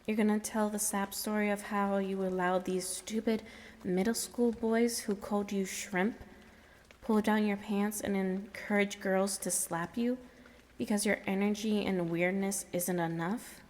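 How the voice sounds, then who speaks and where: A firm, probing woman played by an actress in an audio drama, with a mid-pitched, dark and mellow voice. She is earnest and insistent, heard in long reverb. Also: steady tone, measured pace, lightly acted. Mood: confrontational. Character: firm, probing woman confronting a troubled man.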